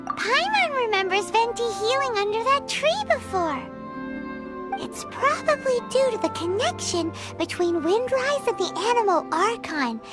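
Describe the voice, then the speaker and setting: Super squeaky little floating fairy girl, chirpy, music in back. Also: sing-song, measured pace, full character voice. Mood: curious. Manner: talks about herself in third person, chirpy and eager. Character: tiny, excitable floating fairy companion girl. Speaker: voice actor in a game dub.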